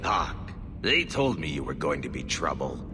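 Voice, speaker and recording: An actor playing a menacing, mocking villain in a video game, with a taunting delivery. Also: male voice, very deep voice, sing-song, normal speed, in a large hall, over music. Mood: taunting menace. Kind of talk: dramatic video game acting.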